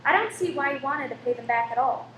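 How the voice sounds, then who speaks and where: A woman acting a brisk, kindly cinema owner's wife in an amateur radio play, firm and composed. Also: sits high, expressive, a bit bright. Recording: echoey room with faint noise.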